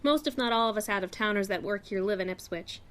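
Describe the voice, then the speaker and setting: A woman's voice: medium pitch, fast, a bit bright, close to an everyday voice. A woman playing a chatty, outspoken young store clerk in a radio play, talking in a folksy, slangy, confiding way. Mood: chatty, frank.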